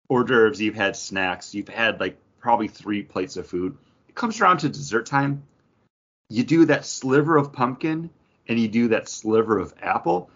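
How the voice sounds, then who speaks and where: A man with a husky, animated voice hosts a casual group chat. His pitch moves around a lot as he talks. The recording is a bit dull and lo-fi. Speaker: podcast host.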